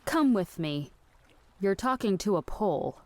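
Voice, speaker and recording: A woman's voice: mid-range voice, animated, measured pace, no room sound, natural voice. An actress playing a practical, exasperated woman with a dry, weary manner in an audio drama. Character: exasperated, queasy, practical woman minding her raving companion. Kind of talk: scripted audio drama acting.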